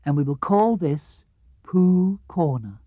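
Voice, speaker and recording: A man voicing an amiable, slow-witted toy bear from a children's storybook, simple, deliberate and earnest. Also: on the high side, expressive, dry recording, narrow, tinny audio. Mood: decided.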